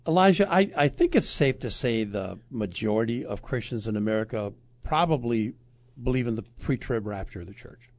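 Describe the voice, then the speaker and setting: A man hosting an interview, framing his questions haltingly with repeated words. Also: mid-range voice, lively intonation, dry recording, dull audio. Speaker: host.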